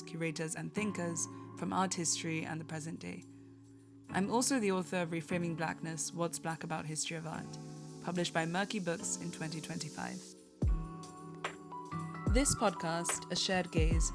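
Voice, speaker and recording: A woman hosting a podcast, reading a measured scripted introduction and then interviewing a guest in a studio. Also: lowish voice. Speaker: podcast host. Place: artist's studio. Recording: music playing behind the voice.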